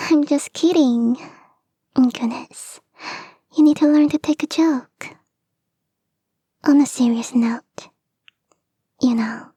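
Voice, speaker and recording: Breathy, whispering fox girl, acted by a woman. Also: sits high, warm tone, dry recording, studio quality, heavily acted. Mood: playful teasing. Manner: slow, teasing, intimate. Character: playful, devoted kitsune fox girl, bodyguard and girlfriend. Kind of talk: ASMR roleplay, soft whispery delivery. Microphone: close microphone, dry.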